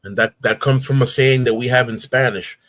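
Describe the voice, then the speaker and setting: A man's voice: medium pitch, fairly even intonation, a little fast, a bit bright, no room sound. A man in his forties, a guest on a casual talk show, telling stories with energy and acting out grunts and voices. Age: 40s.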